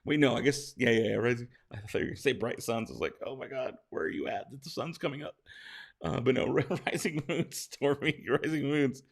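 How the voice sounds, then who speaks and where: A man with a hoarse, tired voice, casually reading out viewer questions and answering them on a late-night live stream. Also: medium pitch, lively intonation, fairly quick.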